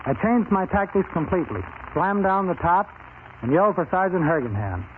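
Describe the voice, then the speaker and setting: High-voiced man on tinny, hissy old radio. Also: expressive, brisk. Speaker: police officer interviewed as a radio guest. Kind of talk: scripted 1930s radio storytelling.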